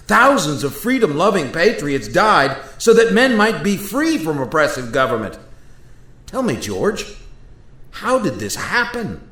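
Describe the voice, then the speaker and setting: A man plays an indignant statesman from America's founding in a radio play. His high, very animated voice orates formally and emphatically, with a roomy echo around it. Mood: incredulous. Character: indignant Thomas Jefferson, aghast at modern America. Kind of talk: amateur radio play acting. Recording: roomy, echoey voice recording.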